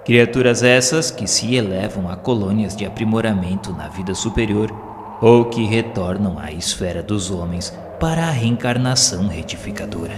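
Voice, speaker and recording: A man with a Brazilian accent reads a scripted audio novel as its narrator, in a solemn, formal and measured literary manner. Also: fairly low pitch, lively intonation, noisy background. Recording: long hall reverb, music underneath. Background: background music.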